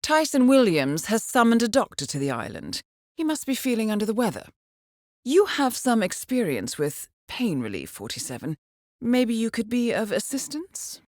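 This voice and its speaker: A woman's voice: fairly high pitch, sing-song, normal speed, a little mellow, no room sound. A woman playing a composed intelligence handler in a video game, briefing an assassin formally and with dry wit. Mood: dry amusement. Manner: composed, formal, dryly witty. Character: poised female intelligence handler briefing an assassin, cool and wry. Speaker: mission handler.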